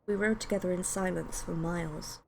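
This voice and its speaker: A woman with a British accent and a slightly low voice hosts a game stream. She speaks in a casual, informal way. There is some faint noise behind her. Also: fairly even intonation, brisk, creaky, a little mellow. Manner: chatty, informal. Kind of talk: casual live-stream chat with read-aloud story narration.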